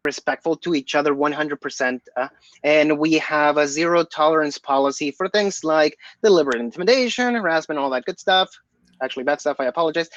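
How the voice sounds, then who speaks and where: A man's voice: fairly high pitch, fairly bright tone, no echo, lo-fi sound. A man co-hosting an online developer event over a video call, friendly, with a frequent "okay" and "absolutely". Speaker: co-host. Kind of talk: event hosting, housekeeping announcements.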